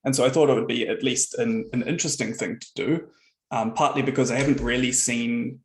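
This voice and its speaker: New Zealand guy, guest presenter; gravelly, relaxed, roomy video-call sound. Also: medium pitch, brisk. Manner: relaxed, conversational storytelling. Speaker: guest speaker, software founder. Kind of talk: online meetup presentation.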